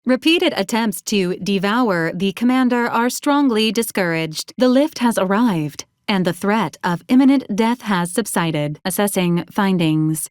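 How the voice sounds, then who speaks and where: A woman voicing a polite, literal female robot assistant in a video game, speaking in formal, clipped, deadpan announcements. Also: medium pitch, a little slow, few pauses, dry recording, studio quality, natural voice. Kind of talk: scripted video game character lines.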